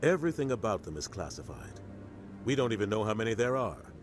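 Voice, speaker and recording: An actor voicing a seasoned, pragmatic military officer in a video game, explaining with measured authority. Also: male voice, on the low side, big pitch swings, soft background music. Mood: grave, measured. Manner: matter-of-fact, authoritative explaining.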